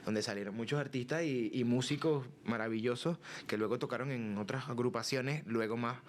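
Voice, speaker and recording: A man's voice: mid-range voice, fairly quick, slight background noise. A man with a Caracas accent, a guest musician chatting animatedly in an interview, often restarting his sentences. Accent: Venezuelan, Caracas. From Venezuela. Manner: animated, sings and scats rhythms, frequent restarts. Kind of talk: casual interview chat.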